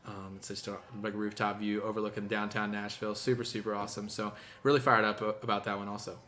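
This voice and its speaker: A young man from Indiana talks loosely about his music in a relaxed interview, with a bright, forceful tone. He backs up, restarts and fills gaps with "um" as he thinks aloud. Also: lowish voice, fast talker, a little hiss or hum. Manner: easygoing, many fillers and restarts. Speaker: musician guest. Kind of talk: casual interview chat.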